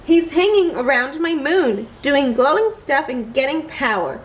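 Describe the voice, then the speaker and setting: Woman voicing a scheming high-pitched girl villain. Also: lively intonation, measured pace, slight background noise, rough recording. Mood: scheming, curious. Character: scheming young girl villain, bossy, moon-themed.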